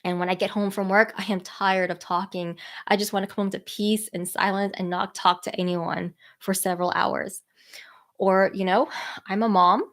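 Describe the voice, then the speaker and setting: A woman's voice: medium pitch, flat delivery, a bit bright. Stuffy-nosed woman coaching listeners. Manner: earnest, encouraging, slowed by a stuffy nose. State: nasal from a cold. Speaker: people skills coach. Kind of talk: podcast live stream talk.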